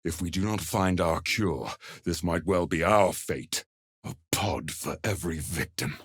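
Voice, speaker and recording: An actor playing a stern, impatient warrior in a fantasy game, with a husky voice and a curt, commanding manner. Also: male voice, low voice, measured pace, a bit bright, no echo, clean studio sound, exaggerated voice. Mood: grim.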